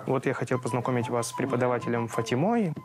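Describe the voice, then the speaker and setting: Male center head, measured with fillers, indoor conference room, music underneath. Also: medium pitch. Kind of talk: on-camera introduction.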